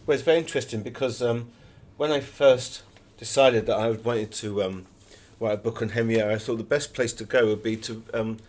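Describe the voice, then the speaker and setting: A man's voice: mid-range voice, fairly even intonation, fairly quick, hardly any pauses. British man telling anecdotes in an interview. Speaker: interviewed author.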